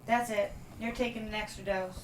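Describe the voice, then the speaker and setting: A woman's voice: mid-range voice, fairly even intonation, normal speed, far from the mic, slight background noise, natural voice. A woman playing a stern, exasperated mother in a drama table read, scolding and disapproving. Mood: cold, resigned. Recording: roomy echo.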